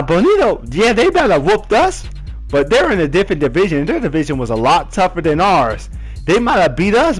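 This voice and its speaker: A young man telling a personal football story in a casual, animated way, full of slang. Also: a little high. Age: young adult.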